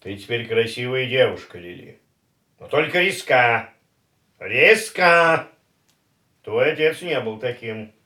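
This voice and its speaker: An actor playing an elderly, thrifty, disapproving uncle in a radio play, reproachful and halting. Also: male voice, mid-range voice, expressive, pushed and bright, roomy sound. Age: older.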